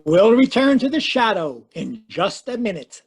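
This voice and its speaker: A man's voice: high voice, very animated, normal speed, over music. A man hosting and announcing a radio drama, formal with playful asides in the credits. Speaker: announcer and host. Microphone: home mic over video call.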